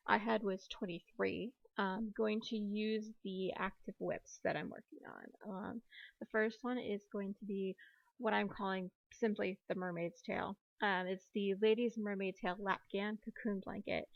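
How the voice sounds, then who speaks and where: A woman in her thirties hosts a casual solo podcast, rattling along quickly with apologetic asides. Her voice sits at an ordinary middle pitch. The recording is dry and a bit dull, like a home setup. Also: vocal fry, no echo. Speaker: podcast host.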